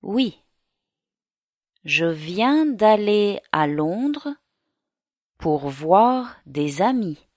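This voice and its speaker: A woman reading the part of a young French law student in a slow learner's dialogue. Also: mid-range voice, sing-song, a little mellow, no echo, clean studio sound. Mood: friendly. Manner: slow, carefully articulated reading.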